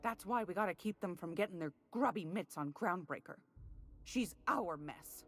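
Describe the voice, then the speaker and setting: A woman's voice: on the low side, animated, normal speed, a bit bright, soft background music. An actress playing a folksy, sly older woman trader in a video game, sweet-talking and knowing, with a drawl. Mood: wry, confiding. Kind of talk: video game character dialogue.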